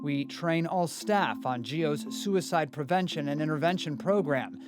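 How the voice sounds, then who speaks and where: Male news reporter, higher voice, measured investigative narration over clear music bed.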